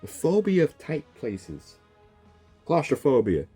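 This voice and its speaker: Reaction streamer: man, casual and slangy, dark relaxed tone with big pitch swings. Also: takes it slowly, husky, soft background music. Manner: joking, exclaiming, slangy. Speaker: gaming streamer. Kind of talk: casual game reaction commentary.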